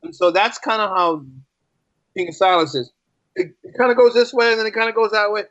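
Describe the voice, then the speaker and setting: Male podcast guest, lightly high voice, conversational, doubles back on phrases, muffled lo-fi sound. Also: a little fast, fairly bright tone, no room sound. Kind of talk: casual interview chat.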